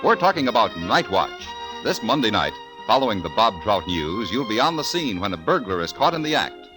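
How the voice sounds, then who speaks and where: A man's voice: on the low side, very animated, fairly quick, poor audio. An American man reading scripted announcements as a 1950s radio announcer. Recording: old 1950s radio broadcast. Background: music.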